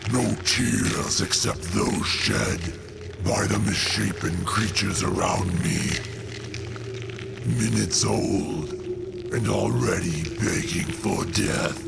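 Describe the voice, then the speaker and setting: An actor playing a vengeful, cold alien god-killer villain in a comic-book audio drama, with gravelly, grandiose, theatrical delivery. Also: male voice, fairly low pitch, very noisy, heavily acted. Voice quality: gravelly, creaky. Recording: loud music under the voice.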